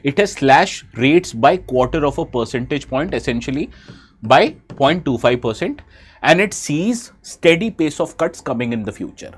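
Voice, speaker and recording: Exam-prep teacher, Indian man with a head cold, slightly high voice, questions his class as he explains. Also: lively intonation, normal speed, breathy edge. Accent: Indian English. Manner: asks students questions, switches briefly into Hindi. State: has a bad cold. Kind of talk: live online lecture.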